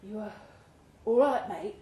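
A woman's voice: mid-range voice, unhurried, warm tone, close to an everyday voice. A woman voicing an earnest, anxious male London ex-convict in a live story reading, talking colloquially. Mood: worried. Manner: colloquial, streetwise. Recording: roomy live pub recording, faint background noise.

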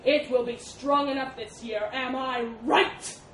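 A woman's voice: a little high, steady tone, slow pace. A woman voicing a huge, proud male elephant in an animal fable, cold, haughty and threatening. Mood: furious. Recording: roomy, distant mic with background noise.

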